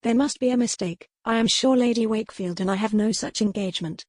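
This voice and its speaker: A woman's voice: a little high, fast, no echo, clean studio sound, pitched above the performer's own voice, natural voice. A woman voicing a proper young debutante in a historical romance audiobook, formal, firm and indignant. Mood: firm refusal. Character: young, defiant, proper Regency-style debutante lady.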